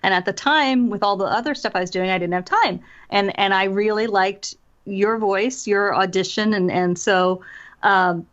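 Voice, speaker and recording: A woman's voice: mid-range voice, normal speed, fairly bright tone. An American woman talking conversationally as an interviewed author and voice actor, explaining things in a chatty way with quoted asides. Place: remote call from separate location. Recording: dull, lo-fi call audio.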